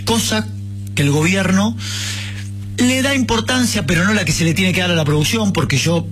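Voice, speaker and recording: A man's voice: sits high, normal speed, a bit bright, faint music behind. A man with an Argentine Rioplatense accent hosting a radio interview, chatty and telling personal anecdotes. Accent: Rioplatense Spanish. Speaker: radio host.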